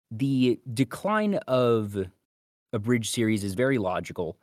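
A man's voice: lowish voice, no echo, studio quality. A man co-hosting a podcast, talking casually about fandom in long, detailed explanatory asides. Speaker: podcast co-host.

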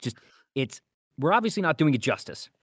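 A man co-hosting a podcast in a casual, informal chat, speaking in an animated way. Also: fairly high pitch, a little fast, breathy edge, no echo, clean studio sound. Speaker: podcast co-host.

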